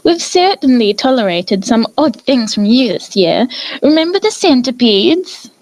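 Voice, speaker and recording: A woman's voice: on the high side, sing-song, a little slow, a little mellow, dry recording, rough recording. A woman voicing a refined, theatrical female unicorn pony with a posh, effusive manner. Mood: teasing.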